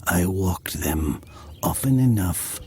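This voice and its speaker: An actor playing a brooding village man who tells of his past in a measured, theatrical audio drama. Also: sits low, lively intonation, heavy background noise, full character voice. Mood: haunted, wistful. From southern Italy. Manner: slow, measured, theatrical, with pauses. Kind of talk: dramatic first-person audio drama narration.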